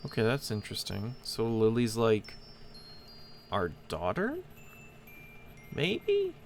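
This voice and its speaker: A man hosts a casual let's-play, thinking out loud as he goes. His voice is fairly low with a gravelly fry, and game music plays clearly behind him. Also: huge pitch swings, takes it slowly. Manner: musing aloud, thinking through puzzles. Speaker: gaming host, player.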